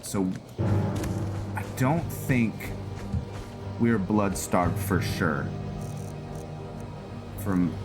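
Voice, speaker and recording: Low, unhurried man commentating on games. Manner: thinking aloud, narrating decisions. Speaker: gaming video host. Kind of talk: roleplay game commentary, casual. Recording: game music behind the voice. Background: video game music.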